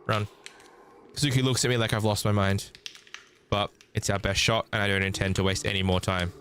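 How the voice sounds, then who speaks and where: A man's voice: on the low side, level tone, a little fast, slight background noise. A man streaming a game, reading visual novel text aloud and dropping in casual, playful comments. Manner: playful asides, sung filler syllables. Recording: loud music behind the voice.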